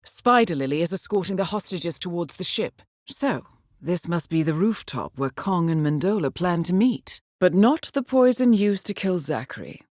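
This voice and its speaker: Poised, expressive female intelligence handler played by an actress. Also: on the low side, dry recording, studio quality, close to an everyday voice. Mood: composed, businesslike. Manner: poised, measured, dryly wry. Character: composed, coolly professional female intelligence handler guiding an assassin. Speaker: mission handler. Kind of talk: scripted video game mission briefing.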